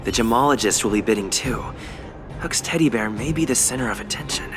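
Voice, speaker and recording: A man playing a calm, matter-of-fact companion in a game. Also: medium pitch, brisk, lightly acted. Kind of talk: animated game voice acting. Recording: music behind the voice.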